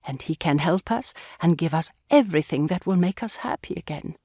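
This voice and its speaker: A woman playing a kindly, pious old grandmother in an audiobook drama, speaking gently, earnestly and with measured care. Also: fairly low pitch, lively intonation, husky, no echo, clean studio sound. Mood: tender, earnest. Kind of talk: full-cast audiobook acting.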